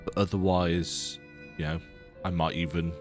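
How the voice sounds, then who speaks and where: A man with a low, croaky voice talks through a video game in a chatty, rambling way. He pokes fun at himself as he goes. Faint music plays behind him. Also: level tone, measured pace. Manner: chatty, self-deprecating, rambling. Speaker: let's play gamer. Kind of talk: casual gameplay commentary.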